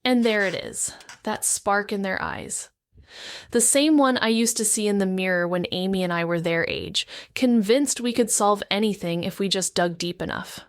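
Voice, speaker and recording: A woman playing a guarded, world-weary amateur sleuth in a noir audio drama, wry and deliberate as she speaks. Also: medium pitch, smooth, no room sound, close to an everyday voice. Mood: wry, brooding. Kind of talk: noir audio drama, first-person narration and dialogue.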